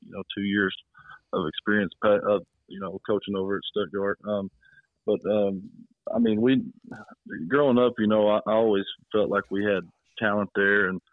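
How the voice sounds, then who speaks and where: A man's voice: fairly low pitch, little pitch movement, normal speed. A man of thirty, chatting modestly and politely as a coach interviewed over the phone. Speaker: high school football coach, interview guest. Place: on a phone call. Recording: muffled, roomy phone line.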